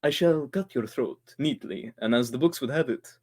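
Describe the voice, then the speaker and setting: A man's voice: mid-range voice, darkish tone, natural voice. A man playing a formally spoken, menacing doctor in a 1940s-style radio thriller. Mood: polite menace. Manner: formal, deliberate phrasing. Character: menacing polite doctor villain, really a panicking radio actor.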